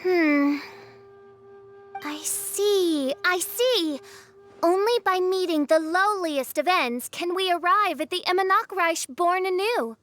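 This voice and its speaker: Grandiose teen princess for anime game: very high, bright, projected, archaic and pompous. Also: female voice, slow, faint music behind, full character voice. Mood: curious. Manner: pompous, archaic, flowery speech. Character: theatrical teenage girl with grandiose self-styled princess airs. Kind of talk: anime video game voice acting.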